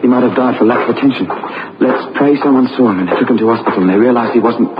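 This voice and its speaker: A man's voice: mid-range voice, fast talker, heavy background noise, natural voice. An actor playing an anguished, guilt-ridden British husband in an old radio play, agitated and hesitant in his delivery. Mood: desperate, haunted. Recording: muffled old radio recording with music.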